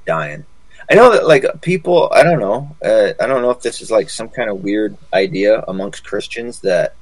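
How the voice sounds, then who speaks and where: Male podcast co-host, lower register, loose and sweary conversational delivery, dry close sound. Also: dry recording, low-quality recording. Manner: profane, colloquial, calls listener dude. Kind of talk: casual, rambling political chat and anecdote.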